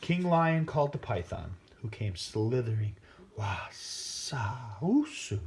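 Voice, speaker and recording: A man's voice: mid-range voice, sing-song, moderate pace, creaky, darkish tone, a little hiss or hum. A man reading a children's folk tale aloud expressively, theatrical and playful with sound words. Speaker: storyteller.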